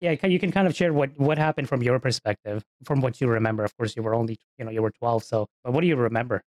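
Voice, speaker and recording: A man's voice: medium pitch, quick pace, a little mellow. A man hosting an interview podcast. He reads a scripted intro, then asks friendly conversational questions. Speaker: podcast host.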